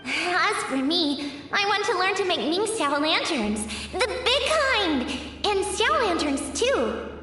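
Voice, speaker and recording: An actress voicing an eager, dreamy, slightly bossy little child in a video game flashback with echoey, distant reverb. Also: female voice, extremely high pitch, big pitch swings, takes it slowly, bright, forceful tone, soft background music, full character voice.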